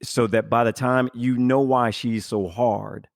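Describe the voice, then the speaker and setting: A man's voice: medium pitch, fairly even intonation, a little slow, vocal fry, no echo, studio quality. A man hosting a podcast in casual chat, rambling with many fillers and restarts as he reads and reacts. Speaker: podcast host.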